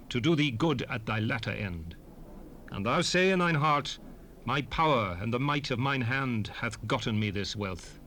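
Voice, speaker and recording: A man performs a solemn male prophet addressing his nation in a 1970s scripture drama. His mid-pitched voice is oratorical and measured, with wide, emphatic swings in tone. The recording is an unpolished cassette rip with faint noise behind him. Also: fairly bright tone, natural voice.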